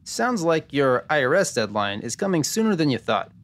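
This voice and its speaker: A man's voice: on the high side, big pitch swings, normal speed. A man playing a wry, pun-loving detective narrator in a 1940s-style noir radio drama. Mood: friendly, wry. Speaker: podcast host playing himself.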